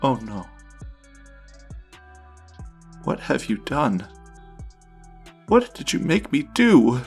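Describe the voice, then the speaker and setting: A man's voice: medium pitch, very animated, takes it slowly, vocal fry, a little mellow, breathy edge, faint music behind, heavily acted. A man playing a frightened, pleading newspaper reporter who sounds rattled and out of his depth, in a comic dub. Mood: horrified.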